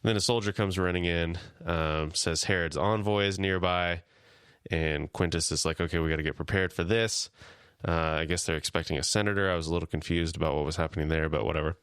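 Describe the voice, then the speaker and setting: A man co-hosting a podcast, chatting casually and retelling scenes with quick paraphrased lines of dialogue. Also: sits low, steady tone, a bit bright, clean tone, no room sound, clean studio sound. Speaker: podcast co-host. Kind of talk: casual chat.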